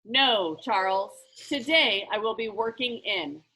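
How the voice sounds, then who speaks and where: A woman's voice: animated, pushed and bright, exaggerated voice, rough recording. A woman voicing an eccentric, grandly stern man who presides like a judge in a children's storybook. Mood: cheerful, playful. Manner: booming, theatrical, deliberate. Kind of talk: children's book read-aloud.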